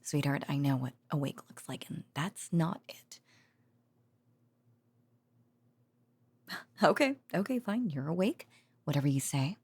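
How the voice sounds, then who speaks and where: A woman playing a tired, affectionate researcher girlfriend in an intimate audio roleplay, talking haltingly with sighs and murmured endearments to a sleepy partner. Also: deep voice, big pitch swings, slightly rough, no room sound, exaggerated voice. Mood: tender, teasing. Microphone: close, dry studio microphone.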